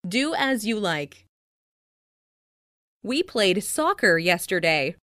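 A woman reads scripted English practice phrases in a clearly enunciated, instructional way. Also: medium pitch, big pitch swings, slow pace, fairly bright tone, no room sound, studio quality. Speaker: language lesson narrator.

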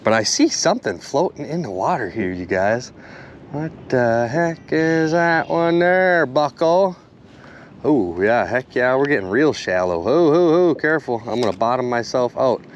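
A young man vlogging outdoors by a river, exclaiming excitedly to his viewers as he goes, recorded on a body-mounted action camera. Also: medium pitch, measured pace, dull audio, a little hiss or hum. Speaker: magnet fishing vlogger. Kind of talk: casual excited vlog narration.

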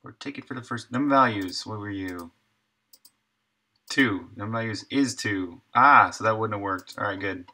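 A man's voice: fairly low pitch, normal speed, pushed and bright. A middle-aged man thinking aloud in short, laid-back fragments as he live-streams his coding work. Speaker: game developer and live streamer. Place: indoor room at a desk, streaming while coding.

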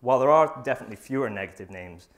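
A young man presenting his research in a lecture theatre, reading from notes with a lapel mic, often pausing with fillers and restarting phrases. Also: mid-range voice, expressive. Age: young adult. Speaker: student presenter. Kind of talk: academic conference talk.

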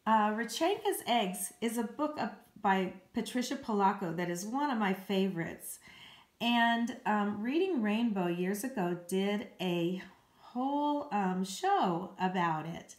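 A woman's voice: mid-range voice, expressive, measured pace. A woman, an elementary school teacher, reading a children's nonfiction book aloud with careful phrasing for young listeners. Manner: careful, clearly phrased read-aloud delivery with emphasis for children. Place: indoors at home, hard-surfaced kitchen. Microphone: handheld phone. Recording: echoey room, handheld home recording.

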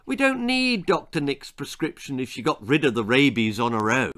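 A man's voice: medium pitch, lively intonation, normal speed, fairly bright tone, natural voice. Man playing a mild, puzzled dad. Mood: reassuring. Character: mild, puzzled, reassuring father.

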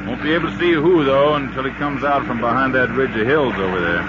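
A man acting a plain-spoken, folksy fishing guide in a 1950s radio drama, talking in a drawn-out, colloquial way. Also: medium pitch, natural voice. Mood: watchful. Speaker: supporting actor. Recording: muffled, very noisy 1950s radio recording.

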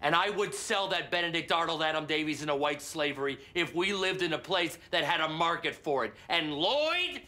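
Brash Hollywood agent, American accent, highish pitch, aggressive, sarcastic, profane, TV comedy-drama. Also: male voice, expressive, normal speed, few pauses, pushed and bright. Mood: bitter ranting. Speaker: actor in a comedy-drama series. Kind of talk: scripted screen acting.

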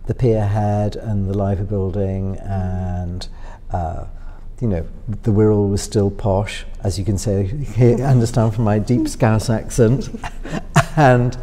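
A middle-aged man from Northwest England with a softened upper-middle-class accent, musing through interview answers with many fillers and restarts. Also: lowish voice, fairly even intonation, slow pace, slight background noise. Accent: upper-middle-class Northwest English softened by London. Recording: voice with long hall reverb.

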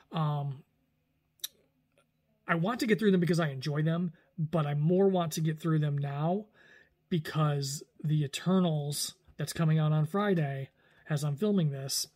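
Forties guy vlogging from home, chatty and rambly, keeps restarting sentences, phone mic up close. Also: fairly high pitch. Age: 40s. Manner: rambling, animated, lots of restarts and hand gestures. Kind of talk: casual talking-head vlog. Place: small room indoors at home.

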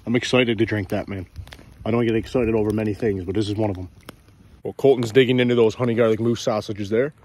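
A man's voice: lowish voice, fairly even intonation, fast, a little mellow, slight background noise. A young man with a Newfoundland accent, chatting enthusiastically to viewers during an outdoor fishing vlog, filmed on a handheld camera outside. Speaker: outdoor vlogger. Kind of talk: casual outdoor vlog chat. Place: outdoors by a river in snow. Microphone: phone or action camera held close.

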